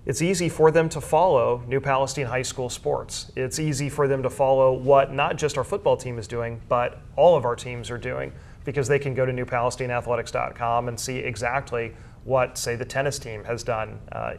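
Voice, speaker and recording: A man's voice: mid-range voice, steady tone, brisk, vocal fry, few pauses. A middle-aged man with an American accent, giving earnest, thorough explanations as an interview guest. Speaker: guest, school sports information director and journalism teacher.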